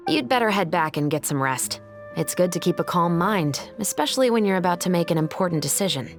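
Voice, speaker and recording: A woman playing a composed, earnest law officer in an anime game, speaking formally and with measured seriousness. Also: lowish voice, lively intonation, faint music behind. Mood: calm, serious.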